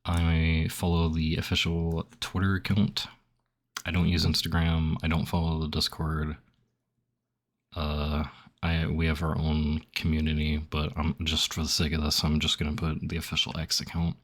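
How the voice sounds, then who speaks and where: Young male streamer, very deep, slightly phlegmy, loose filler-heavy chatter. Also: monotone, normal speed. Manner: rambling, heavy on "like", reads options aloud then reacts. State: phlegmy throat. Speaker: gaming streamer. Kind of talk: casual commentary while filling out a survey.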